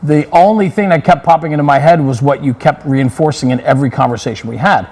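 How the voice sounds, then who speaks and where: A man's voice: a little high, quick pace, darkish tone, big-room sound. A man hosting a conversational interview, affirming his guest and summing up points with emphasis. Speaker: interviewer, host.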